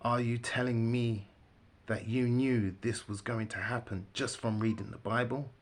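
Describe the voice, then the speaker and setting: A man's voice: mid-range voice, steady tone, measured pace, close to an everyday voice. A man voicing an anxious, earnest man who pleads for answers in a storybook. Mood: incredulous.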